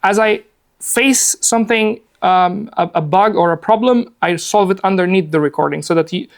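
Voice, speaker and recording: A man's voice: sits high, normal speed, vocal fry. A man in his forties from Afghanistan leads a workshop in a meeting room, speaking in a chatty, upbeat way. He fills gaps with easy fillers and sits at a laptop, picked up by a camera across the room. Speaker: workshop presenter. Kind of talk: casual live presentation.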